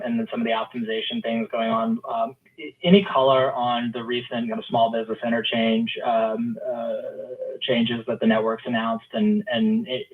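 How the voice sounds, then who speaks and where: A male financial analyst asking questions on an earnings call in casual, somewhat hesitant phrasing. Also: mid-range voice. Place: phone call into conference. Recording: narrow tinny phone line.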